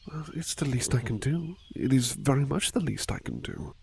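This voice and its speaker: Man acting as devoted male servant, ASMR roleplay, soft-spoken, gravelly creak, gentle, fussing, deferential, repeated reassurances, faint background noise. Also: medium pitch, expressive, moderate pace. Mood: reassuring, gentle. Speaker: roleplay performer.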